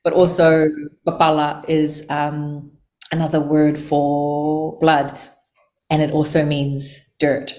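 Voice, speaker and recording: Low-voiced woman in her forties. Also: slow. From Samoa and New Zealand. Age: 40s. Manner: relaxed, thoughtful, many ums. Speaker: chocolate maker guest. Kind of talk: casual interview talk.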